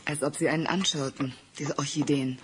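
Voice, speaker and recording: An actress playing a cool, seductive villain's companion in a radio play, languid, with loud noise behind. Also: female voice, low-pitched, fairly even intonation, brisk, close to an everyday voice. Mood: teasing. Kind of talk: German radio play acting.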